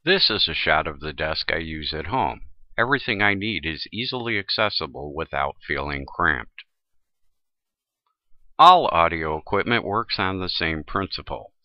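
A man's voice: low voice, animated, normal speed, no room sound, lo-fi sound. A man presenting a tutorial in scripted, instructional narration.

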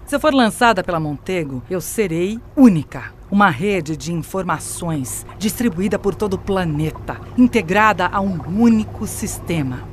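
A grandiose female AI played by a woman addresses her creator in an audio drama. Her voice is lowish for a woman but swings widely, mocking and commanding. Faint ambience sits behind it. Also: animated, normal speed, hardly any pauses, a little hiss or hum, natural voice. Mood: smug, grandiose. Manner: mocking, domineering, theatrical. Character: scornful, grandiose female artificial intelligence turning on her creator.

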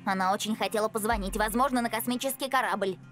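A woman's voice: mid-range voice, fast, a bit bright, soft background music, close to an everyday voice. A woman playing a plucky, eager young boy hero in a cartoon dub, earnest and spirited. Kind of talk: animated cartoon dub acting.

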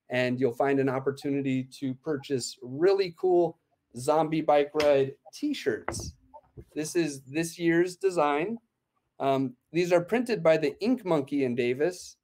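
A man's voice: fairly high pitch, a little mellow. A man in his thirties, an event organizer, explaining plans in an upbeat way with many "uh" fillers, talking from a room at home over a laptop webcam. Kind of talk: video call interview. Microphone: laptop webcam on video call.

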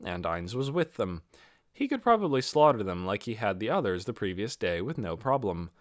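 A man's voice: on the low side, sing-song, normal speed, dry recording, studio quality. A man reading a fantasy novel as a scripted audiobook narration.

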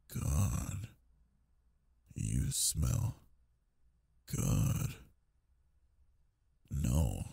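Deep, gravelly goth bully, played by a man. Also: mellow, dry recording, exaggerated voice. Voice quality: low, gravelly, husky. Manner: slow, domineering, murmuring drawl. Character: brooding delinquent goth student bully, possessive and teasing. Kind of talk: intimate ASMR roleplay.

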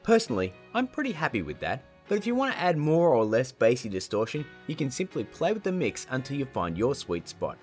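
A man's voice: mid-range voice, huge pitch swings, brisk, few pauses, faint music behind. A man in his thirties hosting a casual video tutorial, playful, chatty and informal in his delivery. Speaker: tutorial host.

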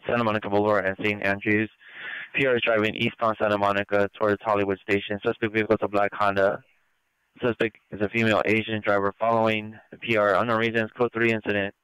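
A man's voice: lowish voice, a bit bright, clean tone, low-quality recording. A man dispatching police units with clipped, procedural delivery of addresses and descriptions.

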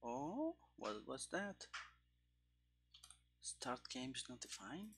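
Guy streaming some game coding, thinks out loud, slow and halting, kinda bright voice, headset mic. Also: mid-range voice, sing-song, vocal fry, a little hiss or hum. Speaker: programmer live-streaming game development. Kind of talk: casual live coding commentary. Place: small room indoors at a desk. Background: keyboard typing and clicking.

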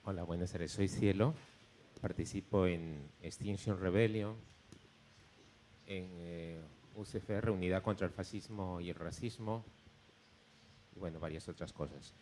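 A man with a very low voice speaks hesitantly from the audience at a public event. He asks the panel a question over faint room noise. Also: measured pace, pauses often, darkish tone. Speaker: audience member, activist. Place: audience in indoor hall.

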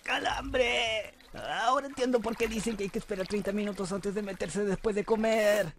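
A man dubbing an excitable, carefree young guy at a pool party in a comedy sketch. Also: high-pitched, normal speed, noisy background. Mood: pained. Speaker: fan dub voice actor. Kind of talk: Spanish comedy sketch fan dub.

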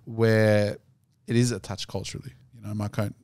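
A man from Sydney with an Australian accent, co-hosting a podcast and telling stories in an easy, relaxed way. His voice sits a little low. The recording is close and dry. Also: moderate pace, dry recording. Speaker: podcast co-host. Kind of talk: casual podcast chat.

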